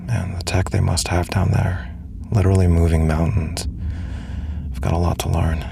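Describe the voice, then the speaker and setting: An actor playing an awkward, earnest space commander who stammers and mutters nervously to himself in an audio drama. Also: male voice, flat delivery, moderate pace, background noise. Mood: flustered. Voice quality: low, gravelly. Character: awkward, earnest space commander, self-deprecating emissary, smitten. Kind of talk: immersive first-person audio drama.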